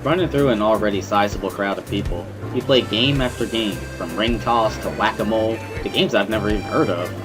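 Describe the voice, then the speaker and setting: A man's voice: lowish voice, a little fast, hardly any pauses, lightly acted. A man playing a reflective, easygoing schoolboy who narrates a dramatic reading in the first person. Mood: easygoing, eager. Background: music.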